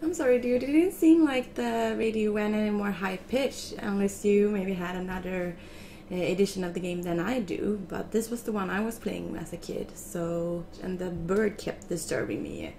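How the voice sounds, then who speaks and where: A woman's voice: medium pitch, normal speed, warm tone, slight background noise. A woman in her twenties hosting a gaming video, chatting casually to camera at a desk in a small room. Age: 20s. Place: small furnished room indoors.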